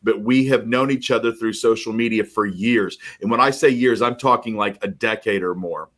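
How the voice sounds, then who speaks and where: A middle-aged man co-hosting a mortgage podcast, talking in an energetic, emphatic storytelling way. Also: on the low side, moderate pace. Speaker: podcast co-host, mortgage professional. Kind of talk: casual chat.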